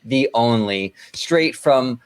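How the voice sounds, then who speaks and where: A man's voice: mid-range voice, animated, slow, smooth. A man hosting a casual podcast, brash and chatty, swearing freely and repeating his phrases as he riffs. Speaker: podcast host. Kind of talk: casual chat.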